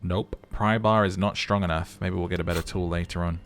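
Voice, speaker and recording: A man's voice: low-pitched, fairly even intonation, fairly quick. A man reading game text aloud and chatting through a let's play, musing as he goes. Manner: chatty, musing aloud between narrated passages. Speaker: gaming commentator.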